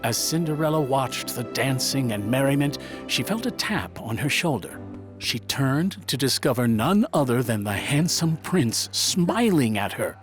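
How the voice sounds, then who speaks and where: Animated male children's storyteller over music. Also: medium pitch, measured pace. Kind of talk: scripted children's story narration.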